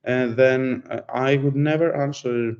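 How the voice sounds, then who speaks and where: A man with a Greek accent speaks in a mellow, warm tone. He tells a story in an easygoing, self-deprecating way, the way a conference speaker does. The recording sounds a little dull and lo-fi. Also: medium pitch, steady tone, a little slow. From Greece. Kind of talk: presentation.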